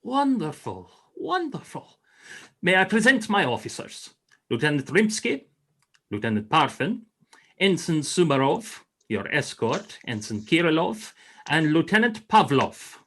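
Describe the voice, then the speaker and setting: A man's voice: on the high side, very animated, a little slow, fairly bright tone, heavily acted. A man playing a courtly Russian frigate commander in a radio play, formal, gracious and grand in manner. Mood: impressed. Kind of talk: radio play acting, 1940s style.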